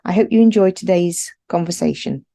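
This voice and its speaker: A woman's voice: lowish voice, expressive, brisk, creaky, warm tone. A woman hosting a podcast, reading a short intro and then encouraging her guest. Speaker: podcast host. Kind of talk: scripted podcast intro then interview chat.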